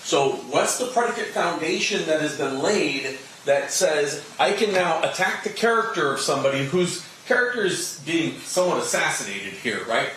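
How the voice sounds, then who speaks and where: A man's voice: fairly high pitch, very animated, normal speed, hardly any pauses, fairly bright tone. American male attorney, very echoey and distant. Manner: argumentative, emphatic, rhetorical questions. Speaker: trial attorney arguing an objection. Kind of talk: spontaneous legal argument in court. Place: indoor courtroom. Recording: very echoey, distant mic, faint noise.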